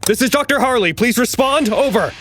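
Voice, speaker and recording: A male research doctor in an audio drama, skeptical and anxious. His pitch moves around a lot as he argues his doubts, over faint background noise. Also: expressive, fairly quick, fairly bright tone. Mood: urgent. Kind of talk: naturalistic audio drama acting.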